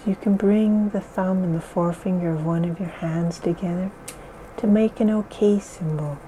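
A woman leading a guided relaxation in slow, soothing, repetitive phrases. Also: lowish voice, warm tone, a little hiss or hum, poor audio. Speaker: meditation guide.

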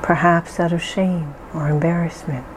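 A woman slowly leading a calming guided relaxation, reassuring and gentle with deliberate pauses. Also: fairly low pitch, noisy background. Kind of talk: slow calming guided meditation.

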